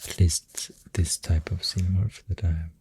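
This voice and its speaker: A soft-spoken ASMR host with a Swedish accent, rambling gently and hesitantly in a hushed, whispery, breathy voice close to the microphone. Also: low-pitched, little pitch movement, moderate pace, dry recording. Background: pencil writing and clicking sounds.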